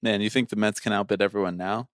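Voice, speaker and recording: A man co-hosting a podcast, talking casually about sports in an animated, wry, conversational way. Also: fairly low pitch, fast talker, dry recording, clean studio sound. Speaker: podcast co-host.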